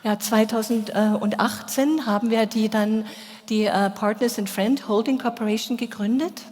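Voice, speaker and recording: A woman's voice: a little high, steady tone, measured pace, a little mellow. A woman working as an interpreter, rendering a speech into German at a congress. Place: large hall. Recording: long hall reverb.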